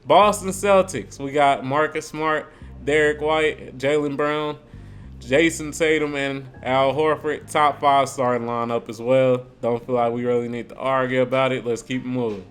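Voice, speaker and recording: A young man with a sore throat giving laid-back, slangy sports commentary and thinking out loud as a video host. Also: medium pitch, steady tone, a little slow, smooth, soft background music. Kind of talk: casual sports ranking commentary.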